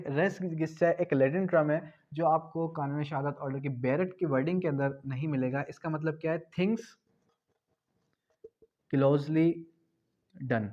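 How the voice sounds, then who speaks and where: A man from Pakistan giving an exam-prep law lecture, asking questions and then answering them, spelling out key terms slowly. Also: a little high, a little mellow, muffled recording. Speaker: law tutor.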